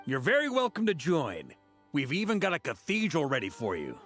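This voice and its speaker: An actor playing an easygoing, teasing young knight in a video game, with a casual, playful delivery. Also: male voice, on the high side, wildly swooping pitch, brisk, a bit bright, faint music behind. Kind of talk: video game character acting.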